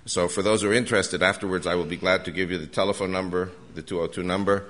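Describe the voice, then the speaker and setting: A man's voice: sits low, steady tone, quick pace, fairly bright tone. An older American man giving an urgent, earnest lecture to a live audience, often restarting his sentences. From United States. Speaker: guest lecturer.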